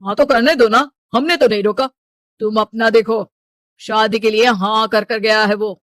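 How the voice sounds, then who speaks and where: A man's voice: high-pitched, steady tone, normal speed, fairly bright tone, no room sound. Male actor as middle-aged rich father in an audio story, scolding, bossy, close dry recording. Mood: dismissive. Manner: domineering, scolding.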